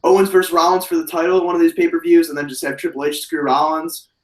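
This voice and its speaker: Man, hoarse, slightly high voice, animated rambling talk, casual wrestling chat, echoey room, far from the mic. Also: fast talker. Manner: animated, rambling, many fillers and restarts. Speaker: wrestling podcast host.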